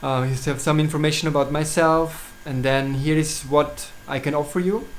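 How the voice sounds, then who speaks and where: Swiss man speaking English with many pauses. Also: on the high side, fairly even intonation, a little hiss or hum. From Switzerland. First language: German. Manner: hesitant, halting, many fillers and restarts. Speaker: astrologer presenting his services. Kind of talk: casual solo video talk.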